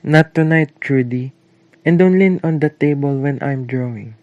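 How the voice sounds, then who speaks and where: A man's voice: medium pitch, normal speed, dry recording, narrow, tinny audio, lightly acted. A man playing a grumpy, stern father in an amateur radio play. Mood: irritated.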